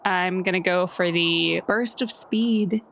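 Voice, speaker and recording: A woman playing a tabletop game, talking casually with a wry, self-deprecating, animated manner. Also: fairly low pitch, slow pace, vocal fry, a little hiss or hum.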